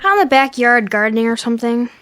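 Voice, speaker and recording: Earnest, high-voiced teenage daughter, played by a woman. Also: fast, a bit bright, dry recording, soft background music, close to an everyday voice. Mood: bright, playful. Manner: earnest, questioning. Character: anxious, caring teenage daughter, earnest and probing. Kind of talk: naturalistic radio drama acting.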